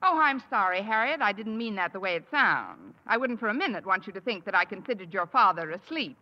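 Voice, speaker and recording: An actress playing a wisecracking high school teacher in a 1950s radio sitcom, with dry, sardonic delivery. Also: female voice, lively intonation, a little fast, lightly acted. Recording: old radio broadcast, dull and noisy.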